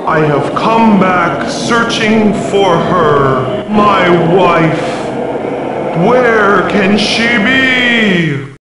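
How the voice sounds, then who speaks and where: An actor playing a mournful ghost searching for his lost wife, in a slow theatrical lament with ghostly reverb. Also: high-pitched, animated, exaggerated voice. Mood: mournful, haunting. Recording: noisy, heavily echoing, distant sound.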